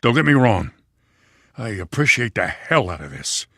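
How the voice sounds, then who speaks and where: A weary, low-voiced police lieutenant. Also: male voice, big pitch swings, normal speed, bright, forceful tone, no echo, clean studio sound, full character voice. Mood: weary, earnest. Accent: American. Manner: plain-spoken, earnest, colloquial. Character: weary, principled male police lieutenant, a father. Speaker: voice actor. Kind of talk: video game dramatic dialogue.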